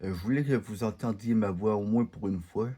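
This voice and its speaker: A man's voice: lowish voice, fairly quick, mellow, husky. A man from Quebec with a Québécois French accent, talking casually over his gameplay, hesitant and full of fillers and restarts. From Quebec, Canada. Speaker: gaming video creator.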